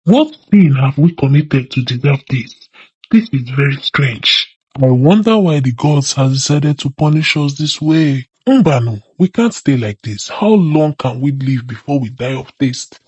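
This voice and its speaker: Woman playing a grave, worried village elder, husky. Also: very deep voice, animated, measured pace, a little mellow, no room sound, muffled recording, voice pushed down, exaggerated voice. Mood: anxious, grave.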